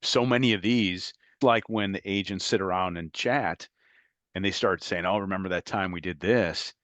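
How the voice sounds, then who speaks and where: A middle-aged man with a very low, deep voice. He speaks reflectively in a relaxed interview and chuckles through his stories. The sound is close and dry, with no room around it. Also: normal speed, no room sound. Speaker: guest, former FBI agent. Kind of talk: conversational interview.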